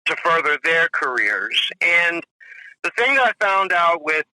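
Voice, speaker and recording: A man's voice: fairly high pitch, sing-song, measured pace, pushed and bright, no echo, rough recording. A man in his forties, a drummer guest telling rambling stories in a casual interview, full of "you know" and "if you will". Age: 40s.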